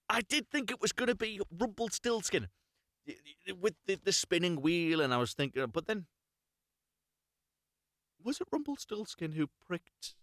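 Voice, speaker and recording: Very animated male storyteller. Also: on the high side, no echo, studio quality. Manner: stumbles and corrects himself, expressive. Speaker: reader of fairy tales, host. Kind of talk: live unrehearsed storybook reading with chatty asides.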